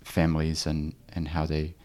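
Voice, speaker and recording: Man, interviewer, very low voice, curious, encouraging questions. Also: monotone, moderate pace, warm tone. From grew up in New Zealand. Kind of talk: conversational interview.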